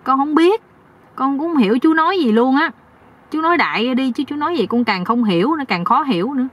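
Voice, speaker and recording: A woman's voice: high voice, animated, quick pace, voice pushed up. A woman voicing a gloomy, naive young husband who complains and pleads respectfully in a comic story. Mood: confused pleading.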